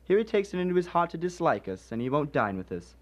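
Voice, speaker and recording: An actor playing a cheerful, forgiving young nephew in a radio drama, good-humoured and animated. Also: male voice, on the high side, quick pace. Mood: amiable, pitying.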